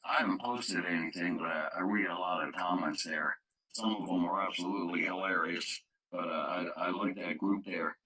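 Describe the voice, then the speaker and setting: A man's voice: sits low, steady tone, normal speed, a loud crowd, low-quality recording. A man chatting casually on the radio, recounting things in a rambling, matter-of-fact way. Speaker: radio club participant. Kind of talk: casual radio chat, anecdotal.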